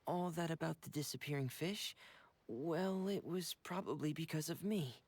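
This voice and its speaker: A man voicing a shy, polite, reserved teenage boy in a video game, soft and breathy, hesitant and apologetic. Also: a little high, normal speed, dry recording. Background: game music.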